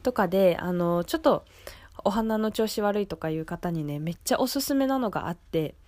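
A young woman hosts a radio show in a polite, chatty way. Her voice sits at a middling pitch and sounds slightly nasal, as if stuffed up. The sound is close and dry, with very little room in it. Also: expressive, fairly quick, a little mellow, no echo. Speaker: radio host. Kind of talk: casual local radio show talk. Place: radio studio.

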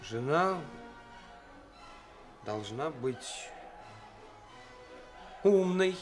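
Slow-talking, halting young suitor, higher voice. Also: male voice, lively intonation. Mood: flustered, earnest. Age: young adult. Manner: halting, stammering, deferential. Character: nervous young male suitor, an actor slipping into cynical bravado. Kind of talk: theatrical radio play acting. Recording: 1980s radio play with faint music.

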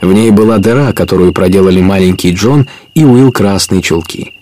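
Low-voiced male storyteller. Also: moderate pace, mellow, a little hiss or hum. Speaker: narrator. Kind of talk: scripted dramatic storytelling narration.

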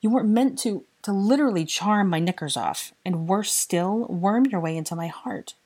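A woman voicing a bright, bossy young woman in love, emotional, indignant and exasperated, in dramatic audiobook dialogue. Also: on the low side, expressive, a little fast, breathy edge, pitched above the performer's own voice.

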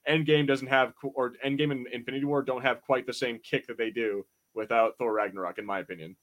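A man co-hosting a podcast in casual review banter, telling things in slangy, animated fashion and acting out quotes. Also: medium pitch, fast talker. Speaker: podcast co-host.

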